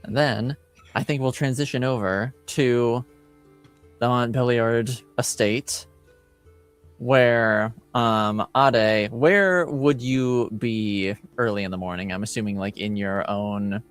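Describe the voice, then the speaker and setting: A man acting as game master, improvising narration for a tabletop roleplaying game with hesitant, halting phrasing. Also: medium pitch, fairly even intonation, clean tone, faint music behind.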